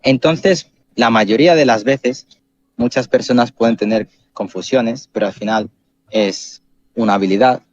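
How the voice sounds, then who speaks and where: A man hosting a podcast, calmly explaining ideas step by step with examples. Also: mid-range voice, unhurried, dry recording. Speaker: podcast host. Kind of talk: explanatory casual chat. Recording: dull, muffled sound.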